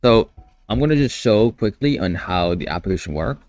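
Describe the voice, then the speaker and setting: A man talking casually on a live stream as a developer, in a halting way, often repeating and restarting his phrases. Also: lowish voice, expressive, darkish tone, dry recording. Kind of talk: casual live stream talk about a coding project.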